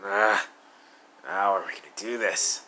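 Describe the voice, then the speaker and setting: A man's voice: sits low, a little slow, bright, forceful tone, slight background noise. A man voicing a brooding young male villain with blue fire, muttering and sometimes whispering in a fan audio roleplay.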